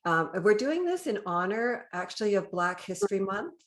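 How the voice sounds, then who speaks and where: A woman's voice: mid-range voice, big pitch swings, normal speed. A woman with a Canadian accent, speaking as the host of a podcast interview.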